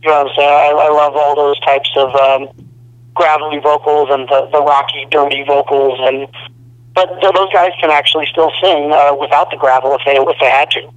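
A young man from Arizona, a band singer, talking casually in a phone interview with a low, slightly gravelly voice and lots of fillers. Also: moderate pace, no room sound, muffled recording. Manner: relaxed, rambling, many fillers.

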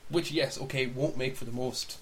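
A man's voice: medium pitch, fairly even intonation, normal speed. A man co-hosting a podcast, casually discussing films and games in a rambling, opinionated way as he thinks aloud. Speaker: podcast co-host. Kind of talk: casual film and game discussion. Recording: clear background hiss.

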